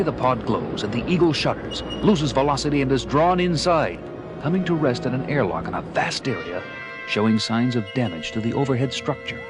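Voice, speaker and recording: Man narrating dramatically over music. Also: medium pitch.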